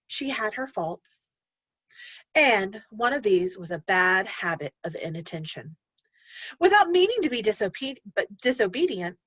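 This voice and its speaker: A woman's voice: medium pitch, fairly bright tone, slightly rough, dry recording, lo-fi sound. A woman teacher reading a storybook aloud to young learners, speaking expressively and instructively and addressing the children directly.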